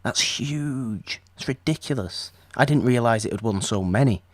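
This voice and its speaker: A man's voice: medium pitch, moderate pace, dry recording. A man co-hosting a podcast, chatting casually about an old film and rambling with restarts. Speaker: podcast co-host.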